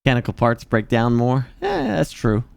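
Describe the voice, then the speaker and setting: A man's voice: medium pitch, huge pitch swings, moderate pace, vocal fry, no echo, studio quality. A man in his forties chatting casually with his stream viewers, joking and self-mocking. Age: 40s.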